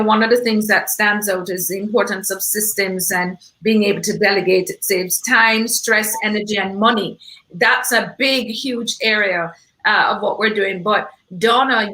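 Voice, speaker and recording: A woman's voice: medium pitch, pushed and bright, rough recording. A woman from Jamaica with a Jamaican accent, hosting an event and talking off the cuff in an effusive, emphatic way. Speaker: event host. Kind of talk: unscripted panel discussion talk.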